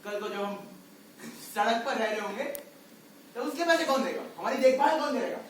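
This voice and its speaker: A man's voice: extremely high pitch, lively intonation, normal speed. Distant echoey recording, man acting as desperate male clown, bitter, declamatory, amateur stage drama, faint noise. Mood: bitter, pleading. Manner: declamatory, rhetorical questioning. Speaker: stage actor. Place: indoor stage, recorded from the audience area.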